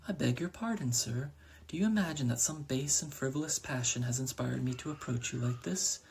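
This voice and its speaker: A man voicing an alluring, gentle female crow who speaks in a calm, soothing, formal way. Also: medium pitch, a little fast, creaky, husky.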